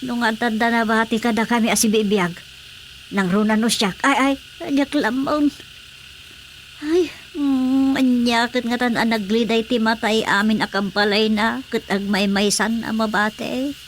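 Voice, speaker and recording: A woman's voice: fairly high pitch, fairly even intonation, a little slow, a little hiss or hum, natural voice. A woman with an Ilocano Filipino accent playing a dead, complaining older mother-in-law in a radio comedy. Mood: grumbling. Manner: complaining, scolding.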